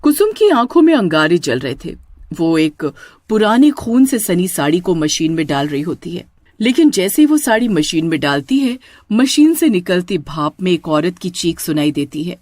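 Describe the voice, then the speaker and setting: A woman's voice: fairly low pitch, very animated, moderate pace, darkish tone, no echo. A woman narrator reading a scripted horror story.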